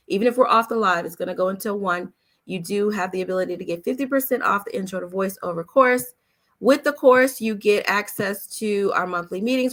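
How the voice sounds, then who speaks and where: A woman's voice: medium pitch, a bit bright. A woman coaching on a casual livestream, upbeat and encouraging, often checking in with her listeners as she goes. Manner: checks in often with "okay?" and "all right?". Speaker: voice-over coach hosting a live Q&A. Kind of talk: casual livestream talk with promotion.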